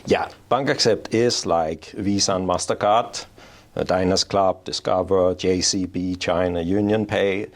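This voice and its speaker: Gravelly low-voiced Danish-born man. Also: fairly even intonation, unhurried. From Denmark. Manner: explanatory, self-restarting. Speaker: payments strategy executive, guest. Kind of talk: interview answers. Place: office in Oslo.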